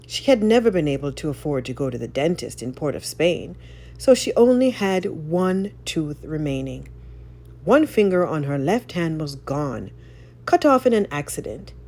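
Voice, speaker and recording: A woman narrates a story with real theatrical flair. Her voice sits low, and it swings widely up and down as she goes. The delivery is scripted and expressive, shaped like a read-aloud tale. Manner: dramatic, animated storytelling.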